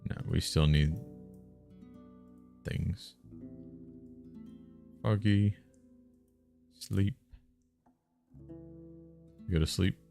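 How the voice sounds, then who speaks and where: A man live streaming a text game, musing out loud in short casual phrases and sometimes reading the game text. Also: low-pitched, very slow, creaky, long pauses, soft background music. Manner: musing, muttered short phrases, thinking aloud.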